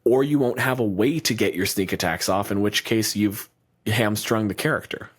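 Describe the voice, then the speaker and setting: A man's voice: fairly low pitch, normal speed, husky. A man co-hosting a podcast, talking in blunt, profane, argumentative casual chat. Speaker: podcast co-host.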